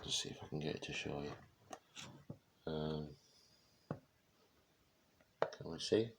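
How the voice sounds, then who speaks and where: A man giving a casual, unscripted product demonstration, speaking haltingly as he searches for words. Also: a bass voice, slight background noise. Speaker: presenter showing vintage radio gear.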